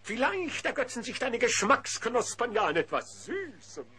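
An actor playing an oily, scheming little villain in a children's cartoon audio play, with wheedling, mock-polite, florid talk. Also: male voice, very high-pitched, big pitch swings, normal speed, sharp tone, exaggerated voice.

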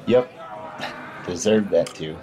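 An actor playing a gruff but kind dwarven berserker in a fantasy audio drama, terse, dry and self-deprecating. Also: male voice, low-pitched, takes it slowly, crowd noise. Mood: wry. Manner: terse, deadpan.

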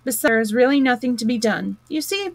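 A woman's voice: on the high side, lively intonation, quick pace, no echo. A woman voicing an indignant, bossy male water rat from a storybook, with an emphatic, scolding manner. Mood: stern, firm.

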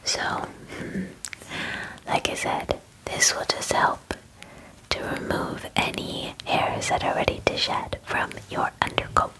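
A woman in her twenties doing a soothing, reassuring ASMR personal-attention roleplay, whispering close to the microphone in an unhurried way. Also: bright, forceful tone, poor audio. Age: 20s. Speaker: ASMR roleplayer playing a werewolf groomer. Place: small indoor room, close up. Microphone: close microphone, near the camera.

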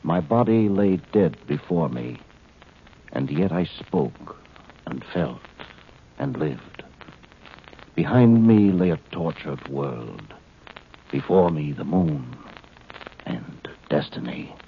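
An actor playing a man speaking from beyond death, slow, solemn and hushed, in 1950s radio drama style. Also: very deep voice, lively intonation, gravelly, full character voice. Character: grave, otherworldly male narrator of his own death. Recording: dull old radio recording, faint hiss.

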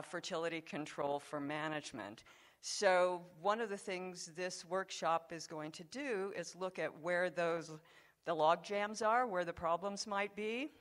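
A woman's voice: lowish voice, fairly bright tone. Older woman lecturing at a conference podium. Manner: measured, conversational lecturing with occasional fillers. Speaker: conference speaker. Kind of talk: scientific conference talk. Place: large conference hall, speaking at a podium before an audience. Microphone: handheld microphone.

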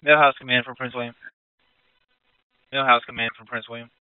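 A man's voice: medium pitch, level tone, a little fast, smooth, no echo. A male fire dispatcher making formal announcements over a two-way radio. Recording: narrow phone-like radio transmission.

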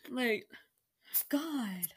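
A woman's voice: fairly high pitch, expressive, extremely slow, slightly rough. A young adult woman casually reading her own story aloud with self-mocking, incredulous commentary. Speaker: online reader of own stories.

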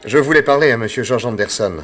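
A man's voice: mid-range voice, quick pace, fairly bright tone, faint music behind, close to an everyday voice. A man playing a shrewd, wry detective in a French radio play, chuckling as he questions people. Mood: dry. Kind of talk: old French radio drama acting.

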